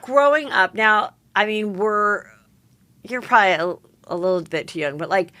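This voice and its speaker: A woman's voice: mid-range voice, moderate pace, sharp tone, no echo. A woman hosting a podcast, asking rambling, gossipy questions full of "like"s in casual chat. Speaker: podcast host.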